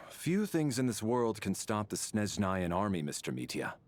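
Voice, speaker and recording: An actor playing a stern, impatient military officer in a video game, speaking in a formal, clipped, disdainful way. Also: male voice, on the low side, sing-song, unhurried, darkish tone. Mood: stern, irritated. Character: haughty, impatient Snezhnayan officer, stern and duty-bound.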